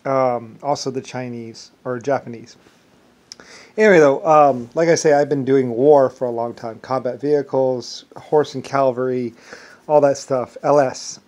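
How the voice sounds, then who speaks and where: A man in his forties talking casually to camera in a rambling, halting way, often repeating words for emphasis. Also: medium pitch, fairly even intonation, a little mellow. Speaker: vlogger. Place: small wood-furnished room at home. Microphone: phone or webcam at arm's length.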